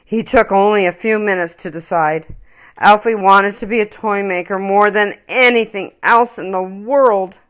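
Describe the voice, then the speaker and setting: A woman reading a children's story aloud with expressive, emphatic, dramatic phrasing. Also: medium pitch, moderate pace, clean tone.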